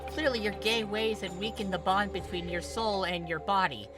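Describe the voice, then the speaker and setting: A man performs a narcissistic young zealot of a woman in a fan fiction story. She is preachy and accusing, pitched a little above his narration, with loud music behind. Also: normal speed, natural voice. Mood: haughty, scornful. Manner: haughty, accusatory, sanctimonious. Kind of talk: fan fiction character reading.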